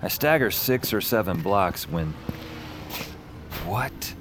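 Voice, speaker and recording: Deep-voiced wry noir antihero over music. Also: male voice, lively intonation, takes it slowly. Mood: wry, bewildered. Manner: sardonic, casual, muttering asides. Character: wry, tipsy, hard-boiled male magic-world antihero. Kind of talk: first-person noir narration and dialogue, audio fiction. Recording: music behind the voice.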